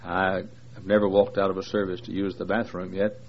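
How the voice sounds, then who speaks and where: A man's voice: low-pitched, flat delivery, fairly quick. A man in his sixties, a visiting preacher, joking folksily with a large congregation, with many fillers. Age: 60s. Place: large church. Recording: dull lo-fi recording with faint noise.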